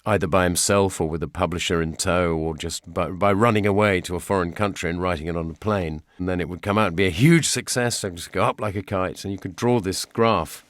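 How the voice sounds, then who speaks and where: Low-voiced British man telling anecdotes. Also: steady tone, a little fast, hardly any pauses, no room sound, clean studio sound. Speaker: interviewee, writer reminiscing. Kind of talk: anecdotal documentary interview.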